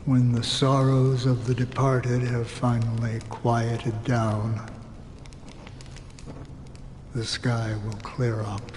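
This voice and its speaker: A man playing a somber, philosophical warrior in a video game, speaking deliberately and pensively. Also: mid-range voice, completely flat delivery, noisy background, lightly acted. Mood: somber, musing. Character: somber, brooding male warrior, coolly philosophical. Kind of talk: English video game voice acting. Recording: long reverb under loud music.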